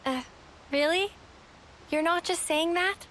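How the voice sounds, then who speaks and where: A woman's voice: very high-pitched, slow, fairly bright tone, slight background noise, full character voice. A woman playing an anxious, insecure young female soldier in a game cutscene, flustered and stammering. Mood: flustered, uncertain. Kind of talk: video game cutscene acting.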